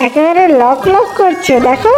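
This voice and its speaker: A woman's voice: very high-pitched, expressive, normal speed, music in the background, poor audio. A woman voicing a chatty, pushy but friendly vegetable seller in a cartoon, talking in a familiar, persuasive way. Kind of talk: cartoon dialogue.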